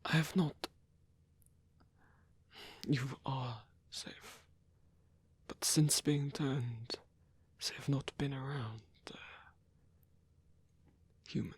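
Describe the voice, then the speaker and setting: Husky, whispering old male vampire, acted by a man. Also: mid-range voice, fairly even intonation, no room sound, heavily acted. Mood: confiding, gentle. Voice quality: breathy, husky half-whisper. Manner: slow, halting, softly teasing. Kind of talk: ASMR roleplay.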